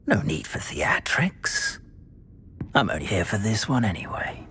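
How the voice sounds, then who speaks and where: Sly villain, swooping pitch. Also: male voice, very animated, a little slow, pushed and bright, whispered, exaggerated voice. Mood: mocking menace. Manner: smooth, mocking, theatrical. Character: sly, menacing dark wizard gang boss in top hat.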